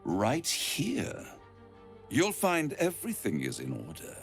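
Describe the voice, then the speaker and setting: An actor playing a suave, scheming devil in a video game, silky, grandiose and menacing, with music underneath. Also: male voice, huge pitch swings, slow pace. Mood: silky menace. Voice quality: low, gravelly. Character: suave, scheming, gloating male devil making bargains. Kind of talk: dramatic video game acting.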